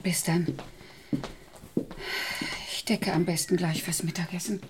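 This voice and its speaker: An actress playing a brisk housekeeper in a radio play. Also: female voice, lowish voice, steady tone, noisy background, lightly acted. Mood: chatty. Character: brisk middle-aged housekeeper.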